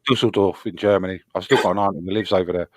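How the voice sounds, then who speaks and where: A man's voice: sits low, fairly even intonation, fast, no room sound, clean studio sound. A man chatting casually on a late-night stream, laid-back, swearing and laughing often. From England. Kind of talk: casual chat.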